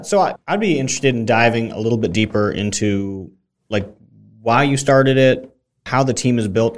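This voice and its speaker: A man hosting a casual interview, asking questions in an informal, curious and tentative way. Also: on the low side. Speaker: interviewer, host.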